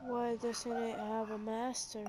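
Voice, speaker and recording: A woman giving casual, relaxed, musing commentary as she plays through a game. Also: a little high, unhurried, heavy background noise. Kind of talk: casual game playthrough commentary, reading out dialogue choices.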